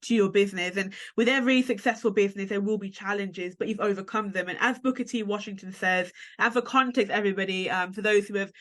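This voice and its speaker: Fast-talking lady host, bright voice, chatty and upbeat. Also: mid-range voice. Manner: chatty and upbeat, many asides. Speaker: podcast host. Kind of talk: casual interview chat.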